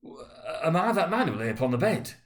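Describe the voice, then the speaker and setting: Guy doing a scared old miser from a storybook, pleading and stuttering, pitch swinging all over. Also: sing-song. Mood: desperate, pleading. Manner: stammering, halting, urgent. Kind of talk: dramatic storybook reading.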